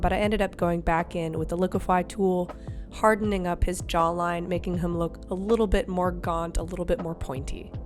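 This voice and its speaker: A woman with a slightly raw throat after being sick, narrating her digital painting in a chatty, easygoing way with small laughs. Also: lowish voice. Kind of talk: casual voice-over commentary. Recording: voice over clear background music.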